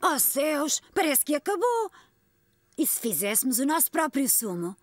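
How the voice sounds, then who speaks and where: A woman's voice: high voice, moderate pace, faint music behind. A woman playing a cheerful, encouraging grandmother in a children's cartoon, with a European Portuguese accent. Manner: encouraging, expressive.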